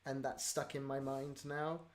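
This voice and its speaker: Livestream Q&A host for an audio drama, mid-range pitch, chatty and giggly, casual delivery. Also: level tone, measured pace.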